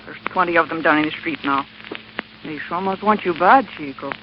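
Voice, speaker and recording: A woman's voice: deep voice, moderate pace, lightly acted. A woman acting bold tough dame, brusque orders, 1940s radio drama, noisy muffled recording. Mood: brusque, commanding. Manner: brusque, commanding. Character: tough, bold Spanish-speaking woman who hates cops.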